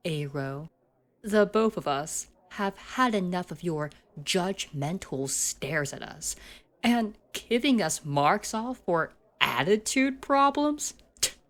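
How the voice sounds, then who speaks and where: A woman's voice: fairly low pitch, lively intonation, slow pace. A woman acting a mocking, domineering schoolgirl bully in an ASMR roleplay, condescending and teasing with menace. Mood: contemptuous.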